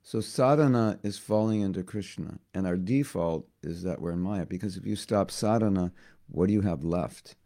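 A hoarse man giving a devotional class, talking haltingly and often repeating words as he thinks. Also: deep voice, warm tone. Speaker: spiritual teacher.

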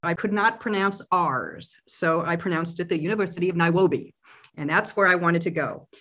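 A middle-aged woman from Oklahoma, a company president and interview guest, telling a story in a reflective, measured way. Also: on the low side, a bit bright. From Oklahoma, USA.

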